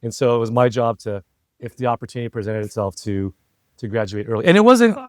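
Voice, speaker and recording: A man's voice: medium pitch, expressive, quick pace, a little mellow, no echo, studio quality. A middle-aged American man chatting reflectively as an interview guest, talkative and often checking for agreement as he goes. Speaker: interview guest, entrepreneur and former CEO. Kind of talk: casual conversational interview.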